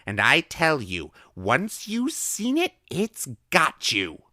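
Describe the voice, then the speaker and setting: Man doing a shrill eager old-maid recluse. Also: moderate pace, clean tone, no room sound, clean studio sound. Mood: excited. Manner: loud, excitable, conspiratorial. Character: eccentric middle-aged woman recluse, eager and cryptic.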